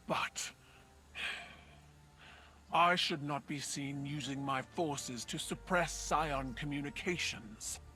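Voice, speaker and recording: A proud, wrathful ruler issues formal orders in a sharp, forceful mid-pitched voice. The delivery is imperious and commanding, in dramatic game style, over faint music. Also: male voice. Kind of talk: dramatic video game acting.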